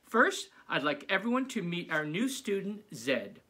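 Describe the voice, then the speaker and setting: A man's voice: high voice, very animated, a little slow, sharp tone, breathy edge, pitched above the performer's own voice, heavily acted. A man voicing a talkative female zombie schoolteacher making emphatic announcements to her class in a storybook. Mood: announcing, emphatic.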